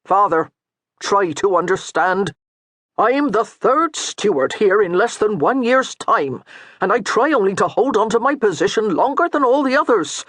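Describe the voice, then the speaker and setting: A man's voice: sits high, sing-song, a little slow, fairly bright tone, no echo, voice pushed up, exaggerated voice. A man voicing a patient, dutiful medieval steward who speaks earnestly. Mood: pleading.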